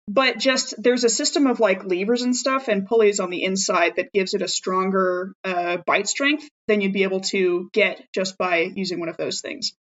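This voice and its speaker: A woman playing a tabletop roleplaying game in casual improvised chat, animated and thinking aloud. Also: medium pitch, dull audio.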